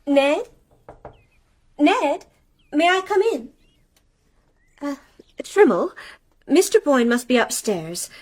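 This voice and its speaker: A woman's voice: high-pitched, sing-song, takes it slowly, pauses often, a little mellow, full character voice. A woman acting a genteel, anxious wife and mistress of a country house in a radio play, polite but commanding with servants. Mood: puzzled, anxious.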